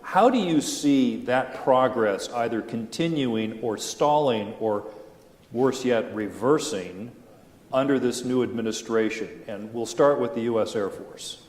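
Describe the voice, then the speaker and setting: Man, moderator, measured formal questions, long hall reverb. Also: medium pitch, darkish tone, a little hiss or hum. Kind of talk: moderating a panel.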